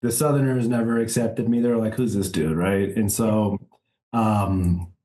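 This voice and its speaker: Man, guest on a podcast, low voice, warm mellow tone, rambling casual storytelling. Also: unhurried, poor audio. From Chile, raised in the US South. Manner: relaxed, rambling, heavy use of "like". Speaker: podcast guest, apparel founder.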